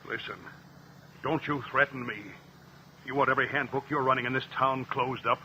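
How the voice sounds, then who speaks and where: An actor playing a blustering, corrupt local politician who sounds cornered and exasperated, in a vintage radio crime drama. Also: male voice, mid-range voice, little pitch movement, fairly quick, sharp tone, lo-fi sound. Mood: defensive, angry. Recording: noisy old radio drama recording.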